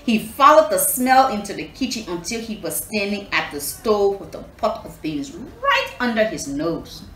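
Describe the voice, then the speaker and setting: A woman reading a children's storybook aloud expressively, pausing to explain and put questions to her young listeners. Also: medium pitch, big pitch swings, a bit bright. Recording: echoey room, distant mic, faint noise.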